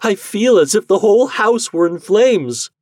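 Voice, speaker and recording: A man's voice: on the high side, very animated, normal speed, slightly rough, no echo, studio quality, pitched above the performer's own voice, heavily acted. A man voicing an anxious, tormented wife in a fairy tale, wailing and fretful with dread. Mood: terrified.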